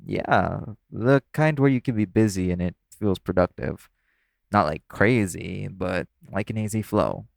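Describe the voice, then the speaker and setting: Man acting as a low, gravelly, easygoing club performer. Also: measured pace, no room sound, clean studio sound. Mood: friendly, reassuring. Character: easygoing, teasing male club performer chatting with new coworker. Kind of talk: intimate audio roleplay, casual conversational acting.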